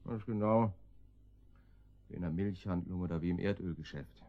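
An actor playing a laconic, dryly ironic gangster guard in a German radio drama, gruffly giving orders. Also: male voice, sits low, normal speed, dull audio. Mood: matter-of-fact. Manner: laconic, dry, gruffly commanding.

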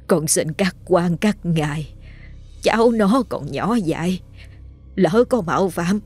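A woman's voice: lowish voice, animated, breathy edge, faint music behind, full character voice. A woman doing a begging old grandmother. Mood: pleading. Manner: slow, hushed, begging prayer. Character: old grandmother, frantic and pleading.

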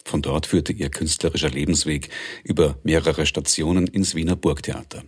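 A male radio presenter reads a scripted introduction. His voice is exceptionally deep, with a husky, rough-edged tone. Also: darkish tone.

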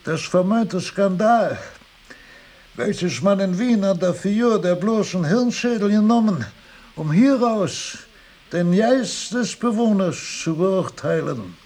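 Frail old philosopher, high thin voice, dragging halting delivery, whimsical radio-play musing. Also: male voice, animated, a little mellow, heavily acted. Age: older. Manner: very slow, halting, murmuring to himself with chuckles. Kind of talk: radio drama acting.

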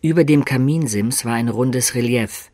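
An actor playing an adventurer who recounts his tale in measured, descriptive narration for a German radio drama. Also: mid-range voice, a little mellow, no echo, studio quality, lightly acted. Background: music.

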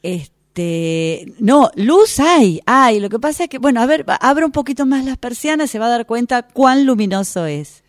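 A woman's voice: fairly high pitch, big pitch swings, normal speed, no echo, studio quality. A woman playing a nervous estate agent who stammers and fills with "este", in a workshop radio drama. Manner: stammering, hesitant, many fillers.

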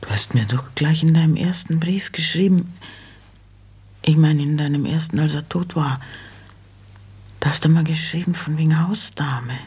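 An actress playing an insistent, plaintive old mother who talks plainly and haltingly, in a German radio play. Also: low-pitched, warm tone. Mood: coaxing, wistful. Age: older. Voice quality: husky, creaky. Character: nagging, money-minded old widowed mother, plain-spoken.

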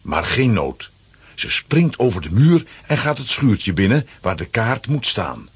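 Husky, animated male storyteller. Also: on the low side, measured pace, no echo. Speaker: narrator. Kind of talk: scripted storytelling for a children's audio play. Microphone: close, dry studio microphone.

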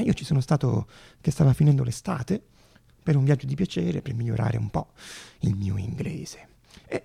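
A male stage actor tells a story in a fairly high voice that crackles with heavy fry. His delivery is theatrical, with deliberate dramatic pauses and a soft, mellow edge.

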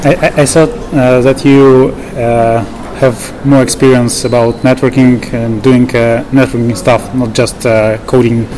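A man's voice: mid-range voice, fairly even intonation, a little slow, few pauses. A Russian man interviewing informally, hesitant and often repeating his words. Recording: clear vehicle-like ambient noise.

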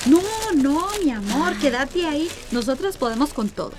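An actress playing a warm, fussing mother in a radio drama, with a Costa Rican accent. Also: sits high, expressive, normal speed. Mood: bustling, affectionate.

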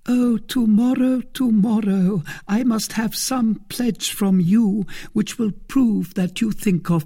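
A man voicing a passionate, scheming noblewoman in an audiobook, tender and emotional, with a trembling, breathy voice. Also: sits high, dark tone, pitched above the performer's own voice, heavily acted. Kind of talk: audiobook character reading.

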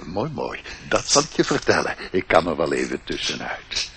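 A man's voice: very deep voice, huge pitch swings, moderate pace, creaky, fairly bright tone, slight background noise, heavily acted. An actor playing a chatty, self-important old ant who repeats his words in a radio play. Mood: affable, doddering. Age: older.

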